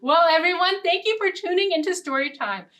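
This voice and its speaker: A young American woman hosting a storytime, speaking in an animated way to young children. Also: very high voice, a little fast, recorded from a distance. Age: young adult. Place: indoor storytime set with backdrop.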